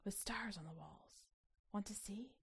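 A woman voicing a secretive young girl from a mirror world in a storybook, hushed, breathy and enticing. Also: medium pitch, measured pace, full character voice. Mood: hushed invitation. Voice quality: breathy, half-whispered.

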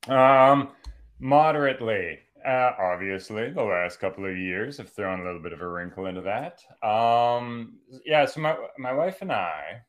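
A man's voice: on the low side, lively intonation, a little slow. A man in his forties from western Canada, talking casually as a podcast guest, rambling and correcting himself with jokey asides. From Calgary, Alberta, Canada. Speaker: guest, professor and writer. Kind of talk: casual conversational interview.

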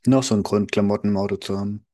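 A man's voice: fairly low pitch, fairly even intonation, fast talker, warm tone. A man in his thirties co-hosting a casual podcast, easygoing and dry, often restarting his sentences. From Germany. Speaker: podcast co-host.

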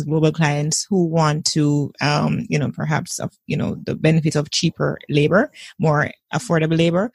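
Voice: medium pitch, creaky, breathy edge, dry recording. A podcast host from Jamaica who asks long, winding questions full of fillers. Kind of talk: podcast interview.

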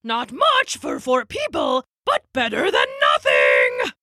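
A crude blue goblin boss from a children's storybook, taunts in a slow, gravelly croak. The pitch lurches wildly up and down, and every phrase is stretched out with cruel, gloating relish. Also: female voice, huge pitch swings, bright, forceful tone, no echo, raised voice, studio quality, heavily acted. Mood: mock-sweet, teasing. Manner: drawn-out, mocking delivery. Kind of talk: storybook character voice.

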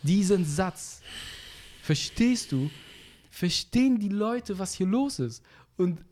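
Young man telling animated stories. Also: sits high, slow pace, a little hiss or hum. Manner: animated storytelling, rising loud when indignant; street slang fillers. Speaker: guest. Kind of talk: casual conversation.

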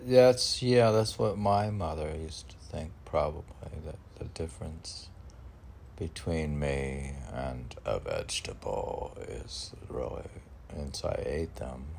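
A man reading his own poems and adding casual, rambling asides in a musing, halting manner. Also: a bass voice, vocal fry, a little mellow, slight background noise. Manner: musing, halting, talks back to own lines.

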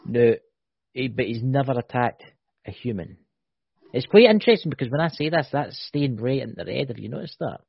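A man co-hosting a casual podcast, speculating in an animated way and often tagging "right?" onto his points. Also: mid-range voice, a little fast, gravelly, breathy edge, no room sound. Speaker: podcast co-host. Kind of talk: casual chat. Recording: dull lo-fi, dry.

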